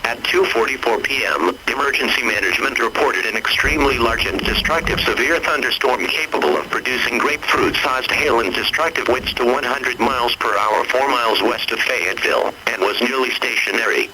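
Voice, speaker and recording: A man's voice: mid-range voice, a little fast, few pauses, natural voice. A man's voice as an impersonal weather alert announcer, reading a formal scripted bulletin. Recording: very noisy radio-like alert broadcast.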